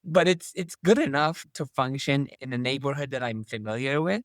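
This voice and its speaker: Young man from Turkey, relaxed interview talk. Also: medium pitch, moderate pace, no echo, clean studio sound. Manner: thoughtful, uses many "like" fillers. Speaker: MBA student guest. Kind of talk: casual interview chat.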